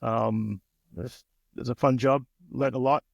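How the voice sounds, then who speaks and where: A man from Nigeria in his forties, a business owner telling his story as a podcast guest in a relaxed, chatty way. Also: medium pitch, measured pace, creaky, no room sound, dull audio. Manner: relaxed, chatty, frequent "um" and "you know". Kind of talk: casual interview storytelling.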